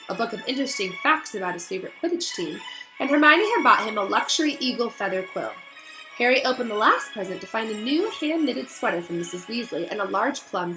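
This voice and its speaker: A woman's voice: medium pitch, poor audio. A woman teacher reading a story aloud to children in an encouraging, emphatic way. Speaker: elementary school teacher reading aloud to students.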